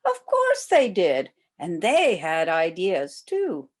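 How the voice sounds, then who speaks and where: Older female storyteller for kids, hugely varied intonation, unhurried picture-book reading with drawn-out emphasis. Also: lowish voice. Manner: slow, expressive, drawn-out emphasis for young children. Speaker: volunteer storyteller for children.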